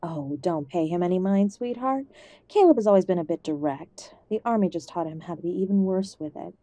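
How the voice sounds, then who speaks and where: A woman acting an ailing older mother in an audio drama, gentle and affectionate, old-fashioned and stubbornly optimistic, fond of endearments. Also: lowish voice, a little fast, dry recording, lightly acted. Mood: fond, chiding. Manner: gentle, fussing, uses endearments.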